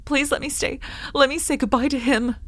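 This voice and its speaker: A woman's voice: sits high, fairly even intonation, fairly quick, fairly bright tone, no echo. A woman playing an earnest young woman caught in forbidden love, acted with emotive old-time radio drama delivery. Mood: pleading.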